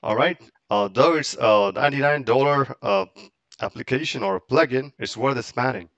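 A man explaining software step by step in casual, halting, English. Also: mid-range voice, no echo, muffled recording. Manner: halting, step-by-step, non-native English phrasing. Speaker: tutorial presenter. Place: close, dry indoor voice-over for a screen recording.

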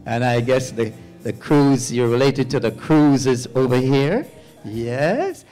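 A man's voice: mid-range voice, expressive, takes it slowly, soft background music. An older man, a pastor, walking the pews of a church sanctuary with a handheld mic, greeting visitors by name and calling on the congregation to say amen. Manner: warm repeating of names, calls for amen.

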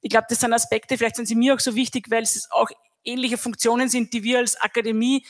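A middle-aged Austrian woman speaks on a stage panel, holding a handheld mic. Her pitch stays flat and steady as she thinks aloud in a measured, reflective way. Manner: measured, reflective, even delivery. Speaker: panel guest, academy head. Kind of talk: panel discussion.

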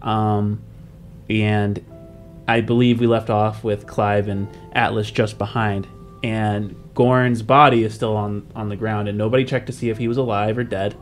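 A man's voice: on the low side, flat delivery, unhurried. Young man chatting casually over music. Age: young adult. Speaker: podcast host and game master. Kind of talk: casual podcast chat.

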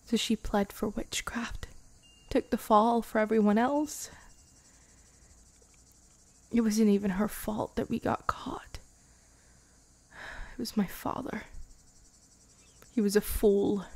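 A woman voicing a centuries-old vampire girlfriend, wistful and protective, in soft, intimate ASMR roleplay that drifts into whispers. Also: medium pitch, no echo. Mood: sorrowful, bitter. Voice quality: soft, breathy whispery moments. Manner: slow, hesitant, sighing between phrases.

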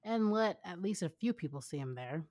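A woman's voice: on the low side, expressive, normal speed, warm tone, no room sound, studio quality. A young adult woman casually narrating fan fiction with banter, in a giggly, informal manner. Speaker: fan fiction reader and writer.

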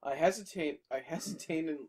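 A man with a slightly high voice reads a horror story aloud on a podcast. He keeps breaking off for joking asides to his friends. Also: brisk, darkish tone. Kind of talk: casual story reading with banter.

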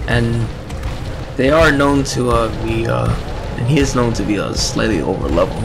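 A man giving casual, offhand game commentary as a let's play host, in a halting way. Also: medium pitch, gravelly. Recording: game music behind commentary.

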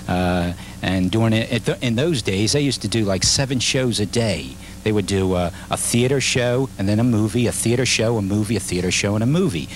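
A middle-aged restaurant manager interviewed on camera, rambling with many fillers and restarts. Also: male voice, fairly low pitch, slight background noise. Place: indoor dinner theater stage. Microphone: handheld mic.

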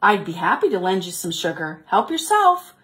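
A woman's voice: mid-range voice, sing-song, normal speed, fairly bright tone. Cheery generous lady porcupine from a storybook, woman reading it out. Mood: friendly. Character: kind, friendly female porcupine.

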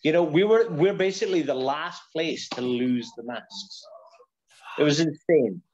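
A man's voice: on the high side, animated, unhurried, a little mellow, slight background noise. A middle-aged man telling stories emphatically in a casual chat over a lo-fi video call. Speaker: guest, bar and venue owner. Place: stone-walled room in a bar.

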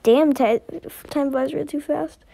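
High-voiced woman voicing an amateur animated skit. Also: unhurried, creaky, slight background noise. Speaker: amateur voice actor reading all characters.

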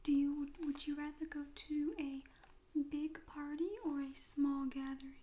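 A young woman speaking in a breathy, mostly whispered ASMR style, gently and informally asking questions as if interviewing a friend. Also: high voice, flat delivery, normal speed. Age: young adult. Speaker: ASMR host. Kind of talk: soft-spoken ASMR friend interview roleplay. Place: small room at home, indoors.

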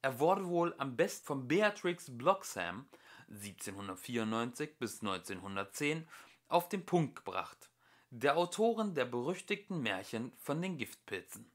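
A young man reading a storybook as an amateur audiobook narrator, in an expressive storytelling style. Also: mid-range voice, a little slow.

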